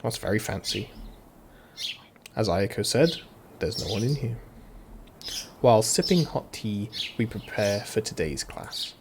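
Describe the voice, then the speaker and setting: A man's voice: on the low side, lively intonation, unhurried, pauses often, background noise. A man streaming a visual novel, reading the translated lines aloud with playful, jokey asides. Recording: voice mixed with loud game music. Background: loud music.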